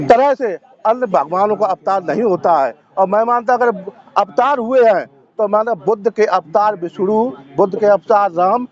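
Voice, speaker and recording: Male, high voice, emphatic activist interviewee, repetitive phrasing, dull lo-fi audio. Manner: assertive, emphatic, repeating phrases. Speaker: protester interviewed at a sit-in. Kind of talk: impassioned political and religious interview answers.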